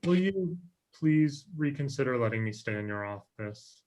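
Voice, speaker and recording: A man's voice: medium pitch, animated, normal speed, a little mellow, lo-fi sound, close to an everyday voice. A young man acting an anxious, eager-to-please twenty-four-year-old in a table read, earnest and pleading, on a video call. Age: young adult. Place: room at home, indoors.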